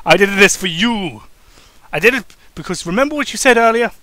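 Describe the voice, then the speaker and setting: A small, smug, sarcastic wrestler as a man puts him on in a fan fiction reading. He is excitable and insistent, pitched fairly high, with a bright, pushy tone. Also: brisk, no echo. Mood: smug, excited. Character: small feisty sarcastic male wrestler lover.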